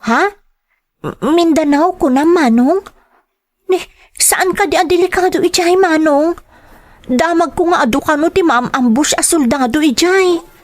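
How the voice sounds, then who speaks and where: A woman's voice: extremely high pitch, a little slow, pauses often. A woman playing a dutiful, affectionate young working daughter in a radio-style drama, with a Filipino accent and an earnest, respectful manner. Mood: surprised, anxious. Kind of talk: Ilocano audio drama acting.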